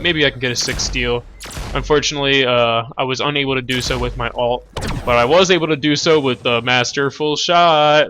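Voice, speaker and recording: Young male gamer with a stuffed-up nose, bright tense voice, loose rambling commentary over a faint hiss. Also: mid-range voice. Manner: relaxed, rambling, many fillers and self-corrections. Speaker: gameplay commentator. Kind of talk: casual gameplay commentary.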